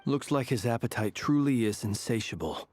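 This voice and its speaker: An actor playing a serious, composed young warrior in an anime game, measured and analytical. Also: male voice, medium pitch, lively intonation, darkish tone, natural voice. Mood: grave, concerned.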